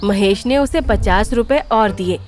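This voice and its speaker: A woman's voice: mid-range voice, measured pace, darkish tone, soft background music. A woman giving a scripted narration of a children's moral story.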